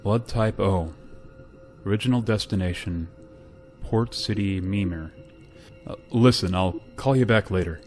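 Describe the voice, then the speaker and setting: A man playing a grave, reluctant spaceship pilot in a radio drama, speaking in a solemn, halting way. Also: fairly low pitch, darkish tone, faint music behind, natural voice. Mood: grave, official. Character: grave, reluctant male spaceship pilot bearing terrible news. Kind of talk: radio drama acting with narration.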